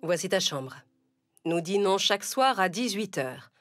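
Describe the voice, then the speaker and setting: A woman's voice: mid-range voice, expressive, unhurried, over music, close to an everyday voice. A woman playing a stern, wealthy aunt in a children's storybook, speaking in a cold, curt manner. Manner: curt, severe.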